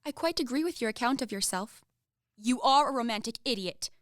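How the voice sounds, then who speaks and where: Woman acting a proud young heroine, high voice, indignant, theatrical, precise, radio stage comedy, dry sound. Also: animated, brisk, no echo, close to an everyday voice. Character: proud, indignant young lady of twenty-three, romantic heroine.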